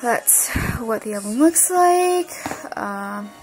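A woman chatting casually while unboxing an album at her desk, rambling with lots of fillers and her voice going hoarse. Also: fairly high pitch, animated, slow pace, darkish tone, soft background music, poor audio. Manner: rambling, many fillers and restarts. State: losing her voice. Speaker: unboxing vlogger.

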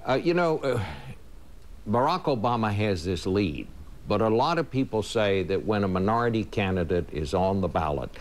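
An older male broadcaster leads a studio panel with a fairly low voice. He asks his questions haltingly, stopping on fillers. It sounds like a professional TV studio interview. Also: expressive, a little hiss or hum. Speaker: news show host.

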